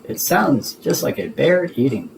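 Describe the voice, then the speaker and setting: A man voicing a little childlike monster in a storybook, excitable, anxious and curious. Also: mid-range voice, a little slow, vocal fry, slight background noise.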